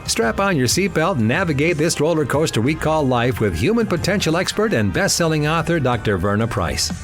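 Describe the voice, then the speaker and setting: An upbeat male announcer reads a scripted podcast introduction. His pitch moves in a lively, animated way. Faint music plays under the voice. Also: a little fast. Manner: upbeat, announcer-style delivery. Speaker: show announcer.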